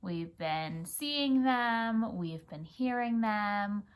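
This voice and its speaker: An American woman reading a picture book aloud for children and chatting gently, often prompting a young child with questions. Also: medium pitch, lively intonation, extremely slow, clean tone. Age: adult. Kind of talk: children's story read-aloud with gentle chat.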